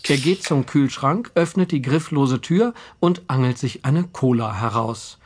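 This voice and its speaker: A man narrating a scripted audio drama in a wry, measured storytelling manner. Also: medium pitch, slight background noise.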